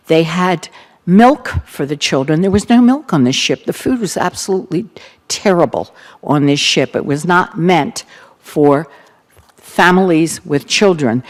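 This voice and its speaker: Older woman giving a reflective testimony. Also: fairly low pitch. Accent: Baltimore. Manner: earnest, reflective, with gentle humor. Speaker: keynote speaker. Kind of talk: personal testimony talk.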